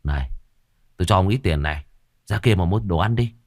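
A rude, quick-tempered young man scolds with contempt, as a male narrator puts him on. His low voice swings widely up and down, and the recording is dry. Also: lively intonation, a little mellow, dry recording. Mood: scornful. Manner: scornful, scolding.

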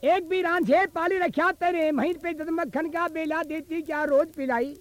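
A man's voice: very high voice, fairly even intonation, normal speed, a bit bright, no echo. A man performing a folk tale as a traditional storyteller, moving between sung verse and spoken narration. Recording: field cassette recording with instrument accompaniment. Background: musical instrument accompaniment.

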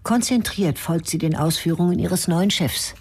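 A woman narrating a radio drama in a measured, matter-of-fact way. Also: fairly low pitch, a little mellow, husky. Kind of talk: scripted narration.

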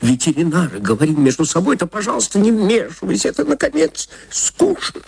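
A woman acts out an irritated army veterinarian in a literary radio reading. He hisses his scolding slowly and emphatically, in a very husky, creaky voice that swings widely in pitch. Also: expressive, darkish tone, full character voice. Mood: angry, embarrassed. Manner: angry hissing, slow emphatic delivery.

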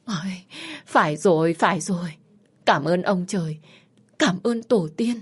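A grieving mother-in-law in an audio drama , acted by a woman narrator. She is overwrought and highly emotional, with a husky edge to her voice. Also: moderate pace. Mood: tearful, faltering. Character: grieving, emotional mother-in-law, bitter then tearfully grateful.

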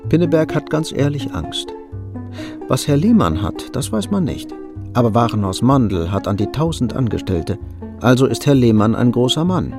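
An actor narrating a German radio play as a wry storyteller. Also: male voice, mid-range voice, big pitch swings, moderate pace, music in the background.

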